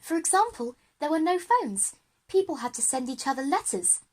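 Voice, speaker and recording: A woman's voice: sits high, sing-song, moderate pace, a little mellow, voice pushed up. A woman playing an outspoken, cautious schoolgirl in a children's audio drama. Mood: polite.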